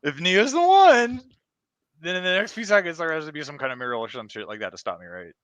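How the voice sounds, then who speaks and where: Radio play turncoat, male actor: gloating and slangy, clear forceful voice, theatrically mocking with big swings in pitch. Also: big pitch swings, fast talker, no room sound. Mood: mocking, taunting. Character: gloating, sneering male traitor taunting his victims. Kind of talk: amateur live radio play reading.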